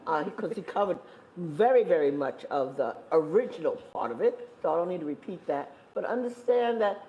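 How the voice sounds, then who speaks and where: A woman's voice: on the low side, expressive, narrow, tinny audio. Emphatic woman panelist in an echoey room. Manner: emphatic, repeats phrases, stresses points slowly. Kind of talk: impassioned panel talk.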